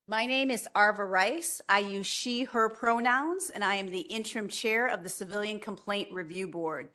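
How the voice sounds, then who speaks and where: A woman's voice: medium pitch, a bit bright. A woman, the interim chair of a civic board, opens and runs a public meeting in a formal manner, with small restarts and fillers.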